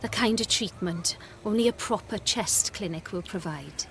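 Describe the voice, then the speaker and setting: An actress playing a warm, earnest young pregnant doctor's wife in a naturalistic radio drama, with a breathy voice. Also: female voice, mid-range voice, normal speed, a little hiss or hum, lightly acted. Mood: insistent. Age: young adult.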